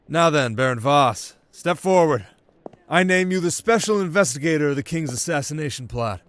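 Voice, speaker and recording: A man's voice: a little high, fairly bright tone, clean tone, no room sound, pitched above the performer's own voice. A man voicing a self-important, naive young prince in an audio roleplay, with formal and pompous delivery. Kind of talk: ASMR audio roleplay acting.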